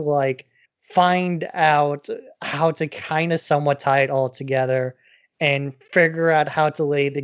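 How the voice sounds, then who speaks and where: A man's voice: fairly high pitch, no echo. Male podcast co-host, casual explainer, long conversational sentences. Very dry, slightly muffled lo-fi sound.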